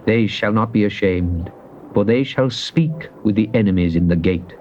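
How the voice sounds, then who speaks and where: A man giving a dramatized recitation of psalms, solemn and declamatory, with drawn-out emphasis. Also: fairly low pitch, a little mellow. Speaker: scripture reader. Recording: muffled, noisy old cassette tape.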